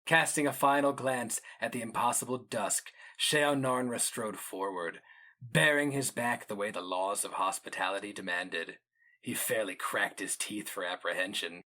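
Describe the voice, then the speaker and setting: A man giving a grave, measured, theatrical reading of epic fantasy as the narrator. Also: on the low side, pushed and bright.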